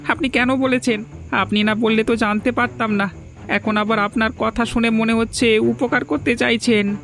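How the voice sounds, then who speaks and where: Man voicing a high, creaky, timid villager. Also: flat delivery, normal speed, a bit bright, soft background music. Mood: anxious, questioning. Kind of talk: dramatic dubbed cartoon dialogue.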